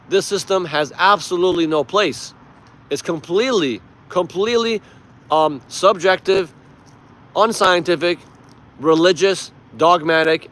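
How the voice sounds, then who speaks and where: Male livestream host from Iran, native Persian speaker, slightly high voice, informal and emphatic, chatting and opining outdoors. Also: fairly bright tone. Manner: emphatic, informal, swears freely. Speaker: live stream host. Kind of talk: casual livestream chat and political commentary.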